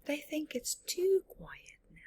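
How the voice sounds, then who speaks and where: A woman reading a picture book aloud to young children, expressively, drawing out words and dropping into hushed tones. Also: high-pitched, wildly swooping pitch. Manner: drawn-out words, drops into hushed whispers. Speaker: children's storyteller.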